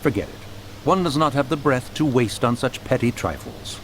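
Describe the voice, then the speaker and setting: Lofty, expressive ancient immortal played by a man. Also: mid-range voice, normal speed, slight background noise, natural voice, poor audio. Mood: offended. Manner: archaic, formal, lofty, expressive. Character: proud, touchy, refined ancient male adeptus in human form. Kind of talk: English video game dub acting. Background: background music.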